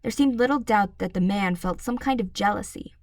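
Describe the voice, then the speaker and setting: A woman reading a children's story aloud as an expressive narrator. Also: mid-range voice, a little fast. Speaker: volunteer audiobook reader.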